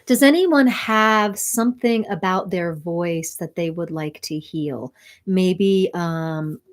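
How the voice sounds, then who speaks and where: A woman hosting a spiritual sound healing livestream, talking slowly and soothingly while reading viewer comments and laughing softly. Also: fairly low pitch. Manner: slow, soothing, reflective, with soft laughs and restarts.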